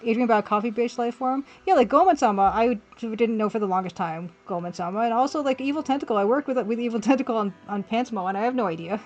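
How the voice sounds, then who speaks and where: High-voiced woman chatting casually over music. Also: quick pace, few pauses, muffled recording. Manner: informal, thinking aloud, reading out chat comments. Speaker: livestream host and pixel artist. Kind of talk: casual chat while drawing on a livestream.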